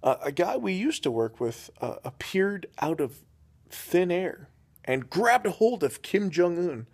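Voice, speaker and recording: Young guy, deadpan comic storyteller, rambles with lots of fillers. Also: high-pitched, unhurried, husky. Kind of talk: improvised comedic storytelling.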